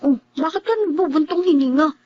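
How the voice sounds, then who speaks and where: A woman's voice: high-pitched, a little fast, dry recording, lightly acted. A woman with a Filipino accent playing a frank, earnest school-age child in a radio drama. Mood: concerned. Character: frank, chatty youngster, a school-age friend. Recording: dull, band-limited studio sound.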